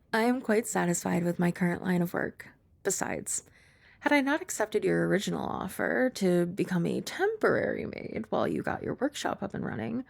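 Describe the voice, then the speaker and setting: A young woman voicing a composed young maid who is also a childhood friend. She uses formal, polite speech with gentle, dry teasing, is soft-spoken, and sometimes drops to a whisper. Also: medium pitch, gravelly, no room sound. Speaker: ASMR roleplay performer. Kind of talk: ASMR roleplay.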